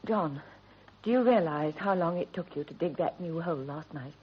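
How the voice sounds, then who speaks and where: Worried British wife, muffled old recording. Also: female voice, fairly low pitch, animated, normal speed, breathy edge. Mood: uneasy, probing. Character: worried, shrewd, loyal wife, adult woman. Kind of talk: period British radio drama acting. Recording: dull vintage radio recording, faint hiss.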